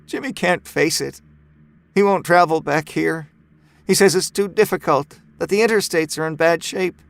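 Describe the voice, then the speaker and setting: Man voicing a distraught, weary mother, pitched up. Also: normal speed, vocal fry. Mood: weary, pained. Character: distraught, weary mother pleading for her children. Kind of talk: acted dialogue in audiobook narration. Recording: music bed under the voice.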